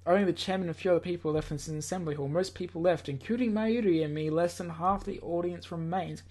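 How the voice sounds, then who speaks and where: A man's voice: a little high, fast, a little mellow. A man reading a visual novel aloud in a theatrical, slightly stumbling way, as host of a gaming video. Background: game music.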